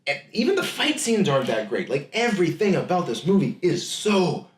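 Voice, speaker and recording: A man's voice: a little high, very animated, a little fast. A man co-hosting a comedic podcast, chatting casually about films with emphatic incredulity. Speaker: podcast co-host. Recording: echoey room.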